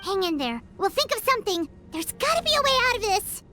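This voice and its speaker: Squeaky little fairy companion, very high. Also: female voice, very animated, fast talker. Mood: encouraging. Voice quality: squeaky, childlike. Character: small excitable childlike fairy companion, urgent and earnest. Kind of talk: video game voice acting. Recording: loud music under the voice.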